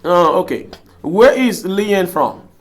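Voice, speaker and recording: A man with a fairly high voice and a Haitian Creole accent teaches a language class. A native Creole speaker, he works interactively, repeating short phrases and putting questions to the students. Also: very animated, slow pace.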